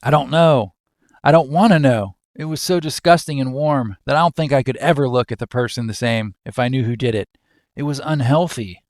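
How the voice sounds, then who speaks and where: A man's voice: medium pitch, brisk, no room sound, studio quality, lightly acted. A man playing a fussy, squeamish office worker, indignant and melodramatic in manner. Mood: disgusted, aggrieved.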